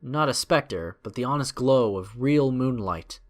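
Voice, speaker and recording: A man narrating a scripted adventure story in a measured, expressive, dramatic storytelling style. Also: mid-range voice.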